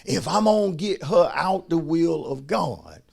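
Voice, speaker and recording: A man's voice: on the high side, measured pace, creaky, a little mellow, husky. A man preaching as a pastor, emphatic, repeating phrases and calling on his listeners to respond. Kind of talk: sermon.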